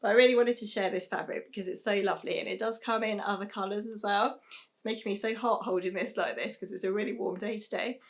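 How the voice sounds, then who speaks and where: Chatty British woman, slightly croaky voice. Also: medium pitch, fairly even intonation, quick pace. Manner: chatty, many "um"s, soft laughs. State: hoarse, losing her voice. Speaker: sewing vlogger. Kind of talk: casual chat to camera. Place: small home sewing room, indoors.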